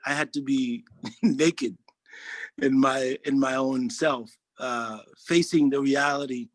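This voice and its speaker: A man's voice: mid-range voice, no room sound. A man, speaking earnestly in a testimonial interview and often restarting his sentences. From Haiti. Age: 40s. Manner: earnest, halting, frequent restarts. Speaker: interviewee, social worker.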